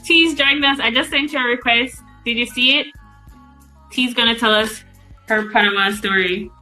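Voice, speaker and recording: A woman's voice: fairly high pitch, moderate pace, bright, forceful tone, faint music behind, low-quality recording. A woman hosting a live podcast, upbeat, asking eager questions. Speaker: podcast host.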